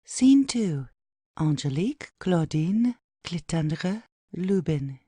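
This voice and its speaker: Female narrator, soft dark tone, animated pitch, medium register, scripted stage directions. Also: slow pace, slight background noise. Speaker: narrator reading scene headings and stage directions.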